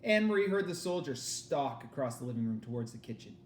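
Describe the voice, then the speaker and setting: A man reading a children's novel aloud with expressive narration, recorded in an echoey room. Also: mid-range voice, quick pace, slight background noise. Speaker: read-aloud reader.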